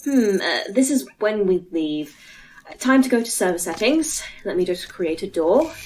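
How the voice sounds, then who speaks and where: A woman acting as a brisk guide to a virtual monster world in a sci-fi podcast drama. She explains in a technical, matter-of-fact way at a middling pitch, with clear noise behind her. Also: animated, darkish tone, lightly acted, poor audio. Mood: briskly explaining. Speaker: voice actor in a fiction podcast. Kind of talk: sci-fi audio drama dialogue.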